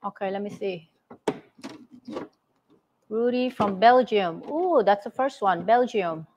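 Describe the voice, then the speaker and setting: A woman's voice: medium pitch, animated, takes it slowly, a little mellow, dull audio, slight background noise. A woman co-hosting a live stream from a garage, cheerfully reading out viewer comments. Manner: cheerful, reads comments aloud. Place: home garage workshop.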